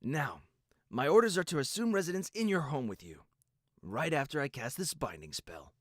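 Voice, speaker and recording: A man's voice: on the high side, very animated, normal speed, fairly bright tone, no echo, clean studio sound. A man voicing a dutiful, earnest young royal guard stallion in a fan audio drama, formal and politely restrained. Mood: formal, official.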